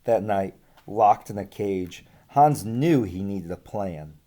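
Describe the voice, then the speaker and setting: A young man reading a children's storybook aloud in an expressive, deliberate way. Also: fairly low pitch. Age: young adult. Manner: animated, deliberate, asks listeners questions. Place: small room with bookshelves.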